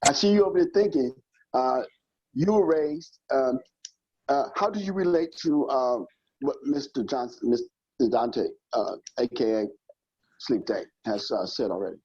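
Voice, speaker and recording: A man's voice: medium pitch, normal speed, darkish tone, breathy edge, no echo, highs cut off. A man hosting a podcast interview, speaking to the listening audience and steering the questions. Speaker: host.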